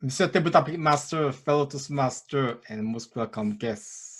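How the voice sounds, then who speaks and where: A man's voice: mid-range voice, normal speed, fairly bright tone. A man giving an earnest, plain-spoken impromptu club speech over a video call, often repeating himself. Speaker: Toastmasters speaker.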